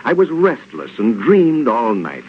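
A man's voice: medium pitch, wildly swooping pitch, unhurried. A man with an American accent announcing a 1930s radio show, reading sponsor copy and scripted narration. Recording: muffled 1930s radio with faint noise.

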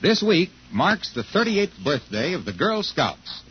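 High-pitched American radio announcer man. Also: sing-song, unhurried, slight background noise. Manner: emphatic, polished, salesmanlike. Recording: muffled old radio broadcast recording.

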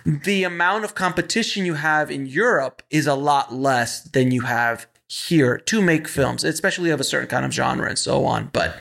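Gravelly host with a higher voice. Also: male voice, a bit bright. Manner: chatty, quick interjections. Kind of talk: casual interview talk.

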